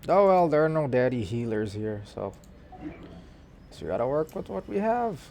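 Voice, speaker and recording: A man's voice: mid-range voice, sing-song, normal speed, gravelly, mellow, a little hiss or hum. A man giving casual gameplay commentary as a game streamer.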